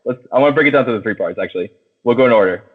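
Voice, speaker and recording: A man's voice: medium pitch, rapid-fire, breathy edge. Casual slangy man, tinny phone-like sound. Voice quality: slangy, profane. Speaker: podcast host. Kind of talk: casual chat, film discussion.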